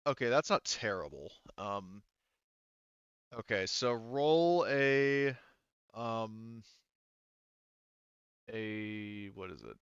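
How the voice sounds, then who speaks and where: A man's voice: fairly low pitch, animated, extremely slow, long pauses, fairly bright tone, clear, resonant tone, no room sound. A man acting as game master, casually refereeing a tabletop game and thinking aloud in a hesitant way. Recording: band-limited, dull dry audio.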